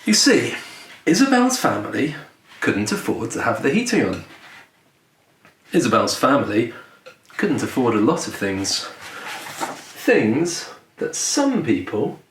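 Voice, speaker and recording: Male storyteller for a picture-book read-aloud: unhurried, lively intonation, deliberate pauses, recorded in a roomy space. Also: medium pitch. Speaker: children's author reading own book aloud. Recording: roomy echo, distant mic, faint noise.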